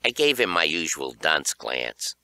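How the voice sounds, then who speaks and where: A man narrating a children's horror audiobook in an expressive storytelling style. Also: extremely low pitch, measured pace, no echo.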